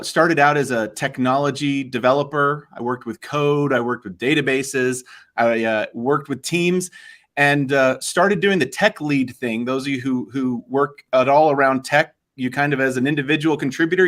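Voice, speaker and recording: A man's voice: medium pitch, fairly bright tone. A man speaking fluently and in a friendly way as a trainer and guest on a live online panel. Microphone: video call.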